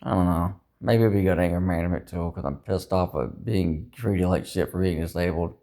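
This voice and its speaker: Man, low voice, dejected, frustrated, self-critical, sweary, rambling confessional talk. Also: brisk, gravelly, warm tone. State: upset, dejected. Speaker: personal vlogger.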